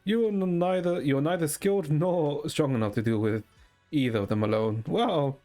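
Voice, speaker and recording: A man's voice: a little high, moderate pace, warm tone. A man streaming a game, reading its dialogue and narration aloud and adding casual commentary. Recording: game music faintly behind.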